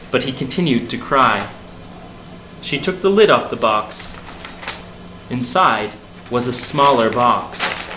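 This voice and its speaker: A male storyteller narrates a folk tale for children in short, simple phrases. His medium-pitched voice rings in an echoey room with faint noise behind it. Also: pushed and bright.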